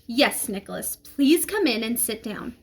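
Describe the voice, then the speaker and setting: A strict schoolteacher played by a woman reading aloud speaks in a formal, measured way. She is a storybook figure who admonishes firmly, with lively rises and falls in her voice. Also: a little high. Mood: formal, admonishing.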